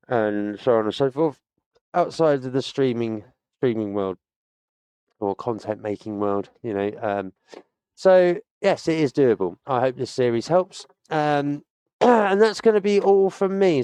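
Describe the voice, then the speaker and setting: A man's voice: mid-range voice, a little mellow, clear, resonant tone, dry recording. Rambling British man in his late thirties. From United Kingdom. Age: 30s. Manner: rambling and chatty, with many fillers and stock phrases. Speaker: streamer. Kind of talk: casual sign-off chat to viewers.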